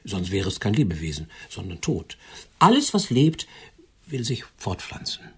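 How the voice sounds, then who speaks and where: An actor playing an elderly, lonely widower in a radio drama, courting with formal, awkward, old-fashioned politeness. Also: male voice, fairly low pitch, wildly swooping pitch, moderate pace, vocal fry, fairly bright tone, breathy edge, exaggerated voice. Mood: earnest, pensive. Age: older.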